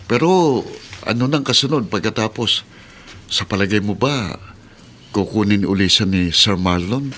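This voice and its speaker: An actor playing a curious, skeptical office worker in a Filipino radio drama. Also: male voice, lowish voice, expressive, measured pace, pauses often, a bit bright, a little hiss or hum. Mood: curious, doubtful.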